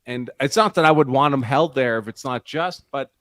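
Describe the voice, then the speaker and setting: A man's voice: medium pitch, moderate pace, fairly bright tone, dry recording, clean studio sound. A man chatting casually on a panel, thinking aloud in a conversational, hedging way.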